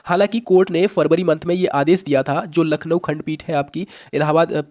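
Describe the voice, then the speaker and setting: A man's voice: a little high, steady tone, brisk, darkish tone. A man hosting an update, explaining things in a teacherly way straight to his viewers and mixing in English words. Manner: teacherly, addresses listeners directly, mixes in English words. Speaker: host of an exam-update channel.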